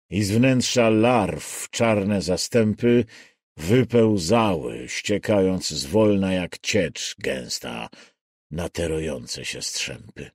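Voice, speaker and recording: Low, slow-paced male audiobook narrator. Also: a little mellow, slightly rough, no echo, studio quality. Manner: measured, deliberate, dramatic phrasing. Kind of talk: scripted literary audiobook narration.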